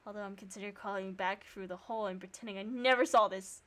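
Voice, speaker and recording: A woman streamer reading visual novel narration and dialogue aloud, with sarcastic, expressive reactions. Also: mid-range voice, quick pace.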